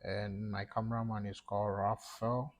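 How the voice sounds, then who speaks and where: A young man from Ghana with a Ghanaian English accent, talking viewers through a screen-recorded tutorial step by step, with fillers and pauses. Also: on the low side, little pitch movement. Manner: casual explaining, talks through each step, halting with fillers. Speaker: tech YouTuber. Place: small room indoors.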